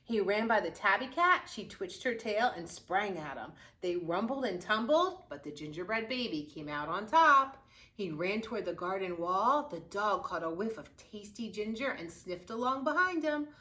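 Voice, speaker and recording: Female children's story reader: bright, mid-pitched, expressive and dramatic, like a library read-aloud. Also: normal speed. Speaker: youth services librarian reading aloud.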